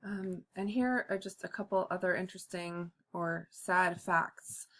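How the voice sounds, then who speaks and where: A woman giving a class history presentation, halting often with ums and uhs. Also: lowish voice, steady tone, fairly bright tone. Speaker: student presenter.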